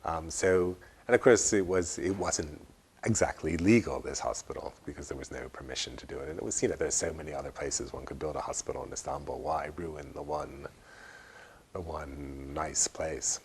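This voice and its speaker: A man with an extremely low voice speaks off the cuff, as if being interviewed. He is deliberate and wry, circling back over words as he goes, with some ambient noise around him. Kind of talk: unscripted interview commentary.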